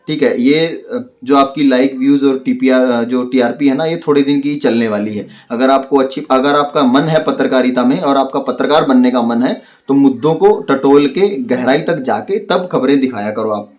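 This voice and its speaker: A man gives unscripted commentary, explaining and arguing his opinions in halting, searching phrases. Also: medium pitch, darkish tone. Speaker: online commentator analysing a crime case. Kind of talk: unscripted spoken commentary on a crime case.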